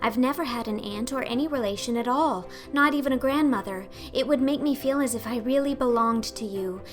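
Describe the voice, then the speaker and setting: Woman doing excitable little orphan girl for an audiobook, high voice, gushy n earnest, super dramatic, talks loads. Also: a little fast, faint music behind, natural voice. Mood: wistful, hopeful. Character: imploring, excitable, talkative dreamy orphan girl. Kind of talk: audiobook character acting.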